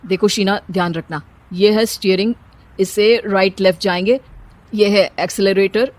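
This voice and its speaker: Wry young husband for animated family comedy, performed by a woman, teasing, medium pitch. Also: moderate pace, no room sound, natural voice. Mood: instructive, wry. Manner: sarcastic, joking. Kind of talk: animated cartoon story dialogue.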